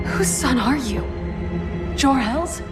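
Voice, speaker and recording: High-voiced, defiant young superheroine. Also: female voice, expressive, slow. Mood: accusing, hurt. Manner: earnest, challenging. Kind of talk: dramatic video game voice acting. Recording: loud music under the voice.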